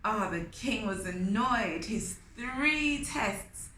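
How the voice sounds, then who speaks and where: Slow, bright-voiced woman reading to kids. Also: fairly high pitch. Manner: expressive, asks the listeners questions. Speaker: children's storyteller. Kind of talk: animated read-aloud storytelling for young children. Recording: roomy echo with faint noise.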